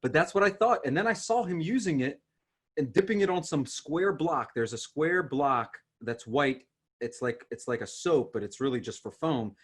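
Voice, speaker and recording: A man from Ukraine hosting a podcast with casual, teasing banter and animated storytelling, in a husky voice. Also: on the high side, normal speed. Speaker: podcast host.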